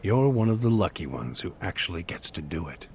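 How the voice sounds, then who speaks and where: An actor playing a calm, menacing male killer who speaks in clipped, businesslike phrases, in a video game dub. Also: deep voice, brisk, slight background noise. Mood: cold. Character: cold, calculating male hitman posing as a guard. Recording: game cutscene with music behind.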